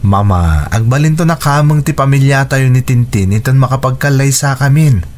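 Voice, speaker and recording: Man acting young adult son, radio drama, average pitch, dutiful, caring, earnest. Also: animated, normal speed, a little mellow, natural voice. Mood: earnest reassurance. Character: dutiful young adult son, engaged, reassuring his widowed mother.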